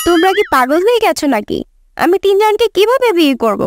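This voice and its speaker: A woman's voice: extremely high pitch, big pitch swings, fast talker, a little hiss or hum, full character voice. A woman voicing an exasperated young woman in a cartoon, speaking with dramatic exclamations. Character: young woman, exasperated girlfriend of three suitors. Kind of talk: cartoon voice acting.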